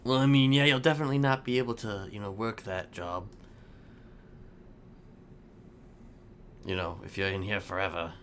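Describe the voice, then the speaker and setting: Man playing a bright-voiced, deep, rambling prisoner. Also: fairly even intonation, lightly acted. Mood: hesitant, hopeful. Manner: halting, repetitive rambling with sudden emphasis. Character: rambling, theatrical male prisoner, hopeful and evasive. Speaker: streamer playing a roleplay character. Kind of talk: improvised in-character game roleplay. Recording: faint background noise.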